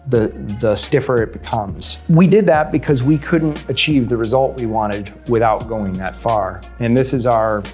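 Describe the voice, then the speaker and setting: A man's voice: medium pitch, moderate pace, hardly any pauses, a little mellow. A man with a mid-range voice talks as an interview guest about his company's products. His delivery is conversational and plainspoken, built on short statements. There is faint music behind him.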